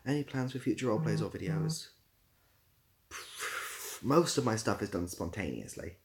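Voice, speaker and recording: A man's voice: fairly low pitch, animated, normal speed. A young British man chatting casually on a livestream, rambling a little and dryly playful as he responds to viewers. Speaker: live streamer. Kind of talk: casual livestream chat, answering viewer comments.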